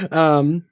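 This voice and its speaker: A man hosting a podcast, steering a casual fan discussion and thinking aloud in an informal way. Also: high-pitched. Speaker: podcast host leading the discussion.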